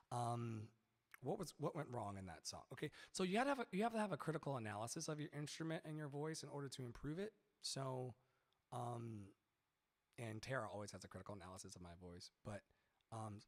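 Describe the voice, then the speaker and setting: A man with a boomy baritone-tenor voice teaching singing on a live stream, rambling and chatty, swearing casually between sung examples. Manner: rambling, chatty, profane, sings snatches mid-talk. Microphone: studio mic with added reverb. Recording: reverb-drenched streaming mic.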